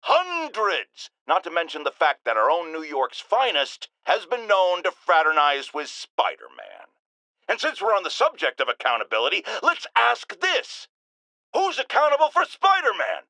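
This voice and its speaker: An actor playing a blustering, self-righteous middle-aged American talk-radio host in a video game, with pompous, emphatic delivery. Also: male voice, sits high, sharp tone, dry recording, full character voice. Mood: indignant. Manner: pompous, emphatic, ranting oratory. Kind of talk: opinionated talk-radio monologue, theatrical game acting.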